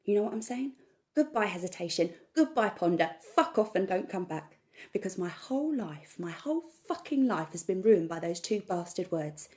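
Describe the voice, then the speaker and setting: A woman's voice: medium pitch, animated, moderate pace, darkish tone, natural voice. A woman acting a monologue as a manic, impulsive, sweary woman who works herself up with emphatic, repetitive declarations. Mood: fired up, defiant.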